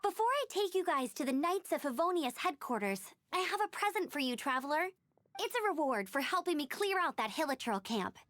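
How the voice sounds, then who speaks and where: A woman's voice: sits high, big pitch swings, fairly quick, fairly bright tone, no echo. An actress playing a perky, earnest young female knight guide in a video game. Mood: cheerful. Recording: game music under the voice.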